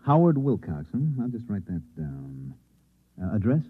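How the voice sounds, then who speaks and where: An actor playing a calm newsman who patiently humors a stranger with hesitant, soothing questions, in old-time radio drama style. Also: fairly low pitch, a little slow, husky, exaggerated voice. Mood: probing, curious. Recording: phone-like, narrow vintage radio recording.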